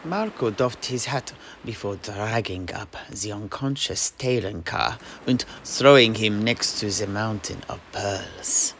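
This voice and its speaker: A man telling a tale in character for a fantasy audio play, in dramatic, measured phrases. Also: on the low side, fairly bright tone, slight background noise. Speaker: host, storyteller.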